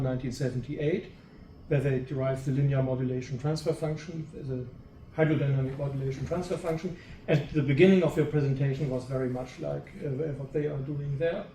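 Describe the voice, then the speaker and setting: A man's voice: mid-range voice, mellow, far from the mic. A male researcher in an audience, putting a long spontaneous academic question and repeating words as he reasons. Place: seminar room, away from the mic. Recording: echoey, off-mic with faint noise.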